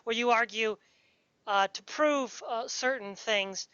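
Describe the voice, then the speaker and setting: A woman's voice: on the high side, slow, a bit bright, clean tone, dry recording, muffled recording. A woman speaking in an opinionated, casual discussion, insistent and pedantic in the way she makes her points. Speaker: podcast speaker discussing fantasy.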